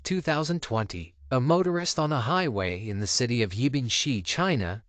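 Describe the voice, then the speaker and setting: A man reading scripted documentary-style narration for a countdown video, with measured, dramatic phrasing. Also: mid-range voice, fairly bright tone, no room sound.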